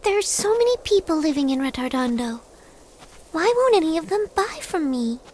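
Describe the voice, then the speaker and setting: A woman voicing a gentle, downhearted young girl in a video game, speaking softly and politely. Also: sits high, sing-song, normal speed, slight background noise. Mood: weary, wistful. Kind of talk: video game cutscene voice acting. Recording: music behind the voice.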